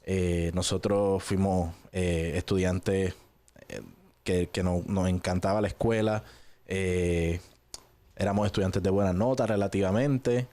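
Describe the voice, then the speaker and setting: Puerto Rican male guest, lowish voice, unhurried conversational testimony with hesitant pauses. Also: steady tone, dark tone. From Puerto Rico. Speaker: guest giving a personal testimony. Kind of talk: relaxed conversational storytelling.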